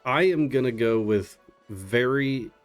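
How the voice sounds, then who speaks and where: A man's voice: medium pitch, slow pace. A man commentating a live speedrun in a casual, playfully sarcastic way. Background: game music and dialogue.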